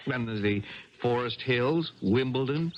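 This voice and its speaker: An actor playing an earnest, hesitant young college athlete in love, in a 1970s radio drama. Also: male voice, mid-range voice, unhurried, lightly acted. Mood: pleading, frustrated. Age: young adult. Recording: dull archival 1970s radio broadcast.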